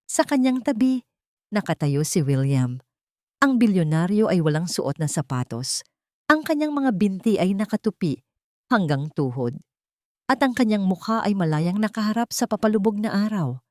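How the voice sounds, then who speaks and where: A woman reading a scripted romantic drama as narrator, in a sentimental, measured storytelling manner. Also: on the low side, darkish tone, no room sound, studio quality.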